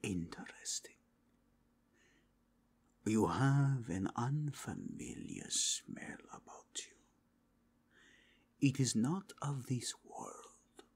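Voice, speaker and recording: A man voicing a suave, probing cardinal of a fantasy Inquisition in an ASMR roleplay, measured and formal, with a breathy, whispery delivery. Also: medium pitch, animated, a little mellow, full character voice. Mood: intrigued, hushed.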